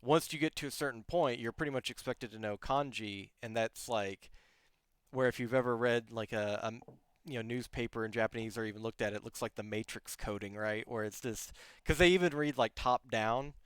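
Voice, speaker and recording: A man's voice: medium pitch, fairly quick, fairly bright tone, clean tone, no room sound. A man hosting a live-streamed podcast interview, rambling casually with many restarts and frequent laughs. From outside Germany. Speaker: podcast host.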